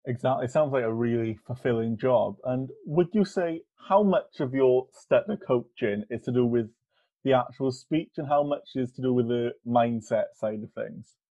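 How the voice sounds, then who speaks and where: A man hosting a podcast interview, asking calm follow-up questions. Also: medium pitch, moderate pace, no room sound, lo-fi sound. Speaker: podcast host.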